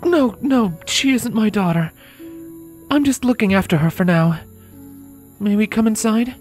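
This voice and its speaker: A man's voice: high-pitched, lively intonation, a little slow, darkish tone, soft background music, pitched above the performer's own voice. A man voicing a composed, guarded female unicorn who speaks politely and firmly in a story reading. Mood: flustered, polite.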